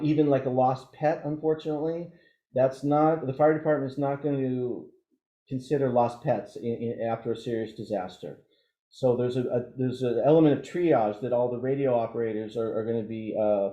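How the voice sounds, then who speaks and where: A man giving an informal training presentation as an instructor, explaining things haltingly and thinking aloud through examples. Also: medium pitch, dark tone. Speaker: emergency radio trainer. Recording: dull, roomy, echoey audio.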